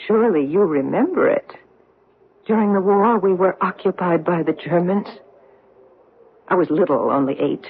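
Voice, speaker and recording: An actress in an old-time radio drama playing a vengeful survivor confronting her enemy with deliberate, accusing intensity. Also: on the low side, husky. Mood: bitter, haunted. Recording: muffled vintage radio recording.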